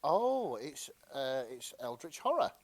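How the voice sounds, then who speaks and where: A man's voice: medium pitch, very animated, a little slow, no room sound. A man co-hosting a podcast in casual, conversational chat. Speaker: podcast co-host.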